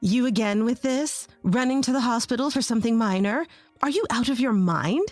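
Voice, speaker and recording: A spiteful, sneering mother-in-law, her voice pitched high and scolding, as a woman narrator puts her on. She is a bitter middle-aged woman in a storybook drama, and the voice is close and dry, with faint music behind. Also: animated, moderate pace, no room sound, natural voice. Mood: scornful.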